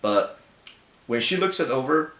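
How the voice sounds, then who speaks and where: Young man, mid-range voice, halting and reflective, echoey hall sound. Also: mid-range voice, lively intonation, a bit bright. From China. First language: Mandarin. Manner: reflective, halting with fillers and self-corrections. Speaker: speaker giving a talk. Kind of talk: personal public talk.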